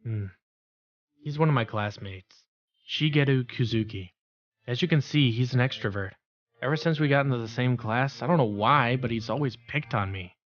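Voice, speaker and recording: A man's voice: medium pitch, normal speed, lo-fi sound, lightly acted. A man voicing an introverted, resigned teenage schoolboy in an anime-style dub, mild and hesitant. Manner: mild, hesitant, stammers when flustered. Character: introverted teenage schoolboy narrator, secretly capable, meek and resigned. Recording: background music under voice.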